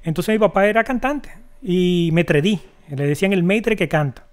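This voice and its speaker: A middle-aged man with a Dominican accent, telling stories as a podcast guest in a casual, animated way, with many fillers and restarts. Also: sits high, normal speed. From Dominican Republic. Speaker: podcast guest, video editor. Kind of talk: casual storytelling interview.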